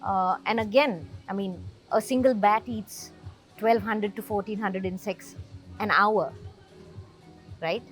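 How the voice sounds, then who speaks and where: A woman's voice: mid-range voice, slow, long pauses, faint music behind. A woman speaking informally as an expert guest, explaining things plainly and emphatically. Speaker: wildlife researcher, interview guest.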